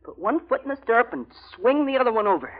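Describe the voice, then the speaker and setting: A woman's voice: a little high, big pitch swings, fast talker. A woman acting an eager, cheerful boy who gives earnest encouragement in an old American radio comedy. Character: eager, cheerful boy teaching horse riding. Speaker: actor in a radio comedy-mystery. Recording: muffled, noisy vintage radio recording.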